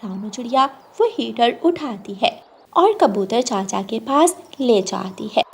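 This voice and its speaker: A woman's voice: fairly high pitch, lively intonation, measured pace, vocal fry, darkish tone, husky. A woman reading scripted narration for a children's cartoon moral story.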